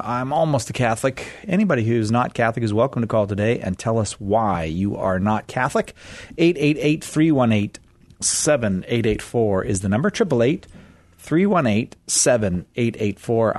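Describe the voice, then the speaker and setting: A man's voice: medium pitch, no echo. A man hosting a live call-in radio show, talking in a breezy, jokey way. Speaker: radio host. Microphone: studio mic.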